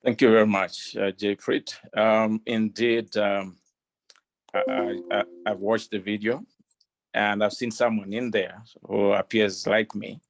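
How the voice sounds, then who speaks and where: A man from Malawi, a panel guest on a video call, telling a story earnestly with many pauses and restarts. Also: fairly low pitch, level tone. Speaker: panel guest, development partner.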